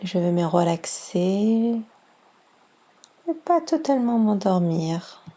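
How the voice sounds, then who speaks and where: A woman guiding a yoga relaxation session as instructor, speaking in a soft, breathy voice with calm, soothing instructions. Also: mid-range voice. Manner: slow, calm, soothing instructions with long pauses. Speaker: yoga nidra instructor and guide. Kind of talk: guided relaxation meditation.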